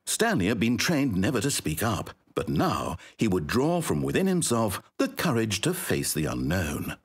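A fussy, self-important British storyteller narrating a video game. His pitch swings dramatically through theatrical, articulate phrases, and the voice has a husky edge. He is wry and needy in equal measure. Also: huge pitch swings, moderate pace, exaggerated voice. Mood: solemn storytelling.

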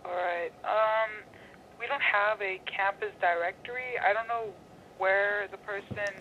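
A woman's voice: medium pitch, fairly even intonation, a little slow. A woman on a speakerphone call patiently giving directions, searching for words as she explains. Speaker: university phone operator giving directions. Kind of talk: unscripted phone directions. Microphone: telephone heard over speakerphone. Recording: tinny noisy phone call through speakerphone.

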